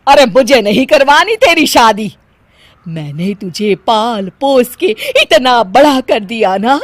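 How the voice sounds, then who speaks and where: A woman's voice: a little high, expressive, takes it slowly, no room sound. A woman voicing a melodramatic, stubborn middle-aged Indian mother in a cartoon moral story, with a dramatic, whiny delivery. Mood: stubborn, defiant. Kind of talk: animated moral story acting.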